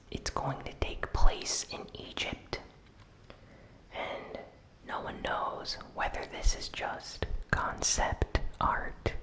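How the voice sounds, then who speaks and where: The voice is a soft, breath-only whisper from start to finish. This ASMR host recaps gaming news at a slow, deliberate pace. Short phrases are set apart by small pauses. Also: rough recording.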